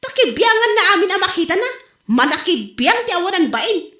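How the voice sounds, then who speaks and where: A woman playing a touchy, excitable village mother in a comedy audio drama, exclamatory and melodramatic, with a northern Philippine accent. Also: very high-pitched, a little fast, heavily acted. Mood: indignant. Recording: roomy, echoey voice recording.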